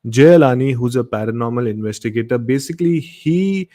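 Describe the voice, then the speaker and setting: A man's voice: medium pitch, fairly quick, dark tone. A man from Goa with an Indian accent hosts a late-night horror show, speaking off the cuff with repetitive, emphatic phrasing. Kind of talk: casual hosting, unscripted introductions.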